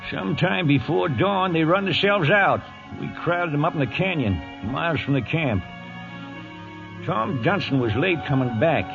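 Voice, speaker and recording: An actor with an American Western accent playing a folksy old cowhand who narrates a 1940s radio western. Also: male voice, a little high, lively intonation, unhurried, gravelly, dull audio, over music. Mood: grave, dramatic. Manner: folksy rustic drawl, dramatic storytelling.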